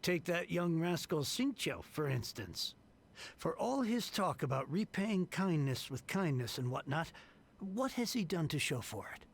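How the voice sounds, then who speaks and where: An actor playing a grateful, weary middle-aged merchant heir in a game, earnest and grumbling. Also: male voice, a little high, sing-song, measured pace, creaky, husky, heavily acted.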